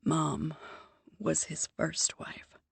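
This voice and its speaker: A woman voicing a young man, a grave brother who speaks low and soothingly, in an audiobook. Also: flat delivery, extremely slow, creaky, slightly rough, dry recording, exaggerated voice.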